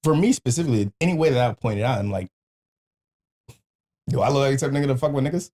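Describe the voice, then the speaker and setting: Lively man in casual podcast banter. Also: medium pitch, fast. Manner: joking, profane, slangy. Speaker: podcast speaker.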